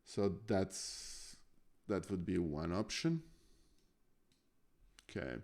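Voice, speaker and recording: A man's voice: low-pitched, big pitch swings, drawn-out words, a little mellow. A man from Serbia with a Serbian accent, thinking aloud hesitantly as a chess student on a live stream. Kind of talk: casual chess lesson chat.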